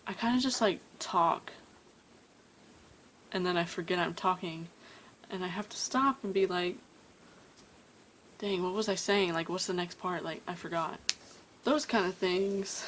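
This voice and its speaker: Tired woman, lower voice, talking casually to camera at home with frequent stutters and mid-sentence stops. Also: a little hiss or hum. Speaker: vlogger. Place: at home indoors.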